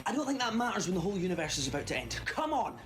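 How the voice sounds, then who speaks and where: A quirky alien adventurer played by a man, upbeat and excitable. His pitch moves freely as he talks, and music plays behind this audio drama performance. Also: very fast. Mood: rallying. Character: quirky, upbeat time-travelling alien hero. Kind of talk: sci-fi audio drama acting.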